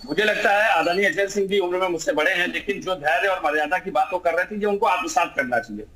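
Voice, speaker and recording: Fast, sharp, high-pitched man debating. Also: poor audio. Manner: argumentative, insistent, rhetorical. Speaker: political party spokesman, debate panelist. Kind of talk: heated television debate.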